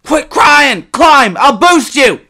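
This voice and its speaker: Guy doing a scrappy young country boy from a storybook, high bright voice, excitable n always bickering. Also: moderate pace. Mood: panicked. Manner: taunting, exclaiming. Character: excitable, bickering young twin boys in the woods. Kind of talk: acted storybook dialogue.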